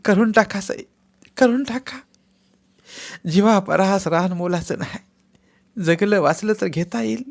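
A man's voice: sits high, measured pace, gravelly, long pauses, pitched above the performer's own voice. A man voicing a tearful village wife who begs for her husband's life, in a sobbing, pleading way. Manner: begging, sobbing.

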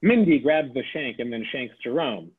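Husky man reading stage directions over a phone line. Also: mid-range voice, expressive, normal speed. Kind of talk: table read narration.

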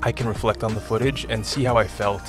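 A man's voice: fairly low pitch, fairly even intonation, fast talker. A man in his twenties with an American accent, talking casually as a radio interview guest. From United States. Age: 20s. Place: small radio studio. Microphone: close studio microphone. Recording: music behind the voice.

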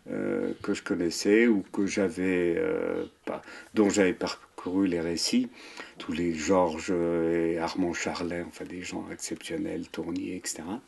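A man in his sixties, a mountain guide with a French accent, talking in an interview with many pauses and fillers. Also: low-pitched, vocal fry, darkish tone. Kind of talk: relaxed interview. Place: wood-panelled chalet room, indoors. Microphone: seated at table, framed camera.

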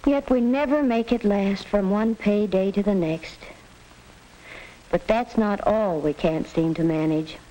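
An actress playing an earnest, patient middle-aged American mother in a 1940s educational film, speaking in a measured way. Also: medium pitch, a little mellow, close to an everyday voice. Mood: thoughtful. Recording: hissy, noisy 1940s film soundtrack.

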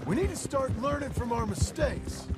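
A man playing a disillusioned, impatient outlaw in a video game, with an American accent and a gravelly, sardonic delivery. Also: very high-pitched, expressive, moderate pace. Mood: bitter. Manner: sour, sardonic. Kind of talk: video game dramatic acting. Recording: game music behind the voice.

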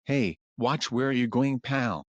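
A man's voice: medium pitch, slow, no room sound, lo-fi sound, natural voice. A man voicing a swinging crooner lamppost in a cartoon parody, complaining in a laid-back way. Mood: casual complaining. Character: swinging crooner Christmas lamppost with a sore throat.

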